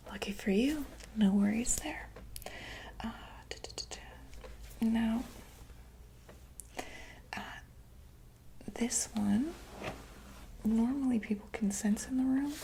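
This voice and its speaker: A woman whispering softly and breathily in a gentle, reassuring ASMR medical roleplay, speaking close to the microphone. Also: on the high side, gravelly, a little hiss or hum, poor audio. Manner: gentle, reassuring, hesitant check-up patter. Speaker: ASMR roleplayer playing a doctor for monsters.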